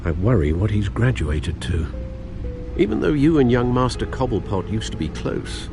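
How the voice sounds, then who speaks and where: A man's voice: on the low side, lively intonation, normal speed, over music. An actor playing an older British butler in a video game, formal, dry and cautious in his manner. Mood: concerned.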